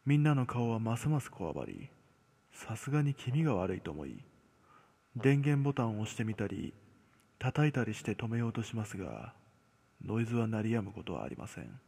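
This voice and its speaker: A man telling a scripted horror story as narrator, measured, with dramatic pauses. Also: fairly low pitch, sing-song, gravelly, a little mellow, husky. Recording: long cavernous reverb.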